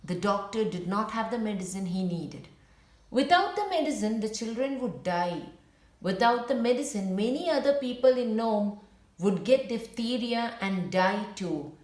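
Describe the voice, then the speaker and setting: Slow-reading woman storyteller in an echoey room. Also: on the high side. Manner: simple, deliberate storytelling for young children. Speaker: children's book read-aloud narrator. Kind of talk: storybook read-aloud with brief asides to viewers.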